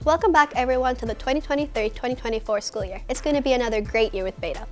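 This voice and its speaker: A teenage girl reads a club announcement quickly and eagerly. Her voice sits a little high. Faint music plays underneath. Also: darkish tone. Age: teens. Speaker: student club president. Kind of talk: scripted announcement.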